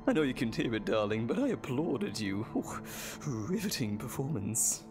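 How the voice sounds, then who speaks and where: An actor playing a sadistic, gleeful evil doctor in an audio drama, condescending and mock-tender, with theatrical, drawn-out phrasing. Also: male voice, mid-range voice, sing-song, music in the background. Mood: amused menace.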